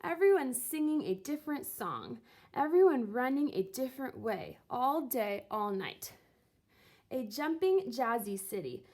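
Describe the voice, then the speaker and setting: A woman reading a children's book aloud expressively, with theatrical, deliberate phrasing and drawn-out words. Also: fairly high pitch, big pitch swings, a little mellow. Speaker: reader of a children's picture book, teacher. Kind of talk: expressive storybook read-aloud.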